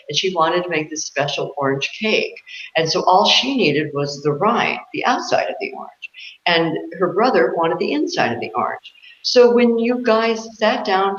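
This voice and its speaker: A woman co-hosting an interview, telling a story in an informal, enthusiastic and expressive conversational way. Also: fairly low pitch. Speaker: interviewer, co-host. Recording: roomy echo, dull lo-fi sound.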